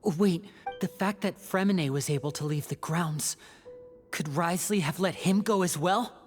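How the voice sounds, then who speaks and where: A man's voice: a little high, moderate pace, lightly acted. A man playing an anxious, proud young leader in a video game, with an intense, earnest delivery. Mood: anxious, tense. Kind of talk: dramatic video game voice acting. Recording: music behind the voice.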